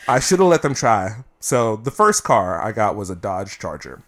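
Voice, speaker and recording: Man, podcast co-host, casual storyteller, swears, lively pitch. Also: moderate pace. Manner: storytelling, profane, playful. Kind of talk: casual chat.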